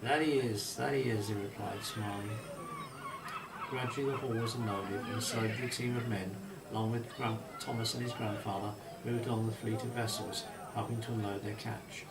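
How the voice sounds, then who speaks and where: A man narrates a story for children at a medium pitch. He sounds set back from the microphone in a roomy space, with some background noise. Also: little pitch movement, normal speed. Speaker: author reading own story. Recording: distant mic, roomy echo, faint hiss.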